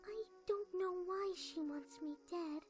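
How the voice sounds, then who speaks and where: A shy, gentle cartoon pony outcast, played by a woman, hesitant and apologetic. The voice is tiny and extremely high, with music behind it. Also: unhurried. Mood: pleading. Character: timid, gentle, polite nameless pony outcast. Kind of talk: fan-made cartoon dub.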